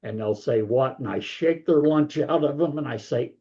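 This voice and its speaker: A man's voice: mid-range voice, normal speed, mellow, lo-fi sound. An older man, a grandparent, talking casually as an author guest in an interview, in a big voice with a folksy, rambling manner.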